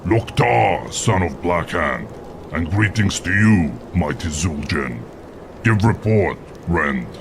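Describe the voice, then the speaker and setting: Commanding gravelly orc war chief. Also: male voice, low-pitched, animated, takes it slowly, background noise, full character voice. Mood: stately, approving. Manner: stately, formal, authoritative. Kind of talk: video game cutscene acting. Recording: loud music underneath.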